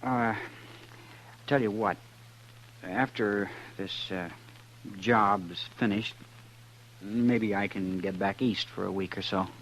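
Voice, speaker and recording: Western outlaw: deep, guarded, deliberate delivery, period radio sound with faint noise. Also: male voice, fairly bright tone. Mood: guarded, evasive. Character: cool, cagey male outlaw prisoner in a western. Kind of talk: 1950s radio drama acting. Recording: humming, noisy 1950s radio recording.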